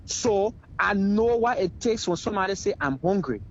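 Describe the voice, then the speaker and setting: A man's voice: sits high, fairly even intonation, moderate pace, highs cut off. A man with a Liberian accent giving heated political commentary as a talk show guest, emphatic and repeating phrases for effect.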